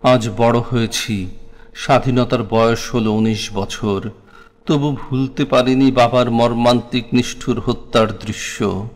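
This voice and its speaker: A man's voice: medium pitch, steady tone, unhurried. Bangladeshi man reciting in long reverb. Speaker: narrator of a war memoir. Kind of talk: scripted dramatic recitation.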